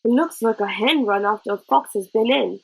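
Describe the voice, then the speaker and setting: A kindly old man from a storybook, as a woman reads him. He is patient and peaceable, plain-spoken and homely, with a slightly husky tone. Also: fairly high pitch, fairly quick, poor audio. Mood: calm, instructive.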